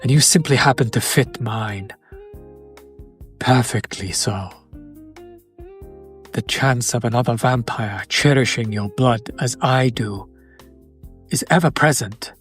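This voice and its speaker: Man acting as courtly male vampire, unhurried pace, medium pitch, tender and wistful, formal old-fashioned wording, romantic audio roleplay, music behind. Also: natural voice. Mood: adoring, intimate. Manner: formal, archaic wording, measured and flirtatious.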